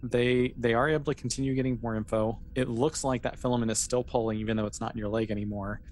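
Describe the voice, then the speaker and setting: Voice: medium pitch, steady tone, fast talker, a little mellow, a little hiss or hum. A game master narrating a tabletop role-playing session in a conversational, improvised way, feeling out the phrasing along the way.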